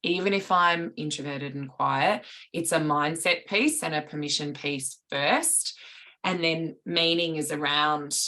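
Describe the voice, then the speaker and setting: A woman's voice: low-pitched, fairly even intonation, a little slow, bright, forceful tone. A woman, a public speaking coach answering podcast questions in a reflective, articulate way, sometimes restarting a sentence.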